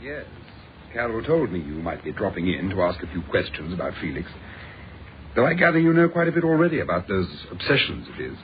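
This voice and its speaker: Dull, slightly hissy radio play, urbane british psychiatrist, self-assured, really varied pitch. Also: male voice, big pitch swings, fairly quick. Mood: assured, explanatory. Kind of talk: radio drama acting. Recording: dull, faintly noisy radio recording.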